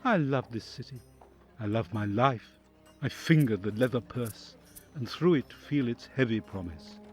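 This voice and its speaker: An actor playing a count's anguished envoy torn by conscience, narrating an audio drama in an expressive, literary, introspective way. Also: male voice, mid-range voice, a little slow, faint music behind, lightly acted. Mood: heavy-hearted resolve.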